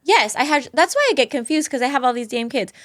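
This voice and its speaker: A woman's voice: high voice, expressive, quick pace, dry recording, studio quality. A woman in her thirties hosting a podcast, chatty and playful as she asks questions. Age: 30s. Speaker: podcast host. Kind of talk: casual podcast conversation.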